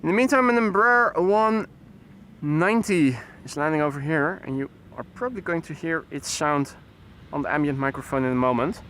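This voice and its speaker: A man's voice: a little high, moderate pace, fairly bright tone, a little hiss or hum. A man hosting a live plane-spotting stream, chatting and greeting viewers by name as he commentates. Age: 40s.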